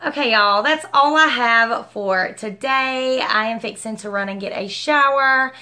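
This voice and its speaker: A young woman with a Southern American accent talks in a fairly high voice. She chats casually, in the manner of a home vlogger filming herself on a phone. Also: unhurried, sharp tone. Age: young adult. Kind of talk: casual chatty vlog. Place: small home rooms and inside a car. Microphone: phone at arm's length.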